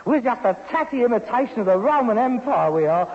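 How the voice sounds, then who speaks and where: Pompous, high-pitched London son, melodramatic. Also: male voice, big pitch swings, a little fast, full character voice. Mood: scornful, lamenting. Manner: declamatory, melodramatic, mock-grand. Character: pompous, theatrical, self-pitying grown son with grand pretensions. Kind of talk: 1960s British radio sitcom acting. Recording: muffled old radio recording, hiss, long reverb.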